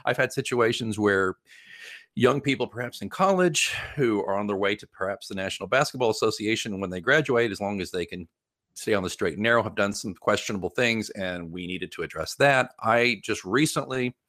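A man with a fairly low voice talks as an interviewed consultant in a dry recording. He explains things informally and emphatically, wandering through examples and correcting his own wording as he goes. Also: a little fast, a bit bright, no room sound. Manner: emphatic, rambling, self-correcting. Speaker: guest PR crisis consultant.

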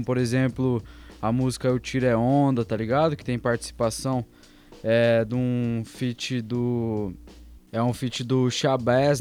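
A man's voice: medium pitch, darkish tone, clean tone. A man radio co-host talking casually in a slangy, laid-back way.

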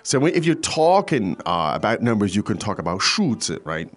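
A man's voice: on the low side, moderate pace, faint music behind. A man hosting a podcast language lesson, explaining at an easy pace with frequent hesitations. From foreign to China. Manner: relaxed, explanatory, many hesitations. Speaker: language teacher host.

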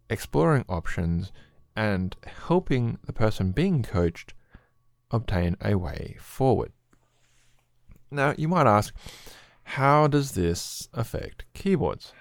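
A middle-aged Australian man with a very low voice talks through a congested head cold. He rambles and explains things casually, with the odd filler, as a solo podcast host. The sound is dry and close. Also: darkish tone, dry recording.

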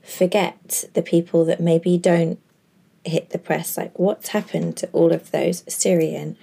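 A woman's voice: on the low side, little pitch movement, measured pace, creaky, mellow, husky. A woman in her thirties, hosting a podcast and musing earnestly in a chatty way full of fillers. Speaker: podcast host. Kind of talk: reflective monologue.

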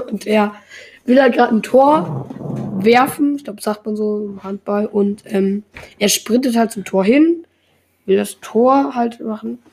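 A woman's voice: on the high side, slow, darkish tone, a little hiss or hum, rough recording. A young woman in her teens hosting a casual podcast, rambling along with lots of filler words. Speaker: podcast host.

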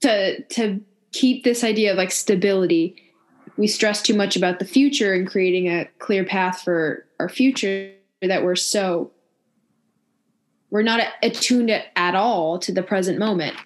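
A woman's voice: medium pitch, unhurried. A woman in her twenties talking reflectively in a casual discussion, hedging with fillers.

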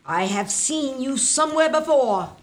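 Woman playing a cantankerous, suspicious guardian aunt, animated voice. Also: high-pitched, a little slow. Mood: scornful. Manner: brusque, scornful, suspicious. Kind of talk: comic audio drama acting.

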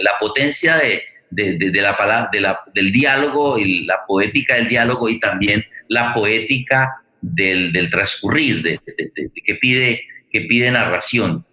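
Man, forum host, lower-pitched, bright forceful tone, reflective, halting, word-repeating. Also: low-quality recording. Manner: halting, searching, repeats words.

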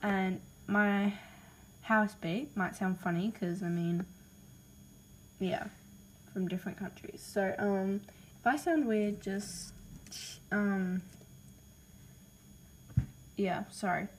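A woman's voice: mid-range voice, steady tone, takes it slowly, pauses often, slight background noise, rough recording. A woman with an Australian accent, casually reading out name ideas in a voice message, hesitant and apologetic with lots of ums. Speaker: podcaster listing name ideas.

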